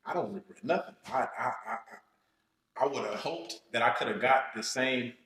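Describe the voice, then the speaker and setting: A man in his thirties, a podcast guest telling his own story in a slow, reflective, casual way, often checking in with "you know what I'm saying". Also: medium pitch, fairly bright tone. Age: 30s. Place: podcast studio room. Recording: roomy studio echo.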